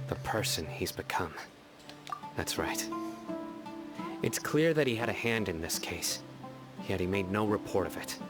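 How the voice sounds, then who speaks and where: A man voicing a stoic, solemn officer of justice in a video game, speaking in a measured, formal way. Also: deep voice, lightly acted. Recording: loud background music.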